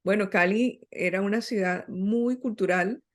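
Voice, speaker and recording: A woman's voice: medium pitch, unhurried. A middle-aged Colombian woman, a writer, speaking as an interview guest in a reflective, hesitant way with many fillers. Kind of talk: interview conversation.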